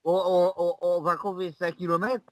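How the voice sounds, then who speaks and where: A man's voice: high-pitched, flat delivery, a little slow, a little hiss or hum, voice pushed up. A man playing a confused, muddled haggler on a prank call, stammering and repeating himself in mumbled phrases. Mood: muddled, insistent. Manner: stammering, repetitive, mumbled nonsense.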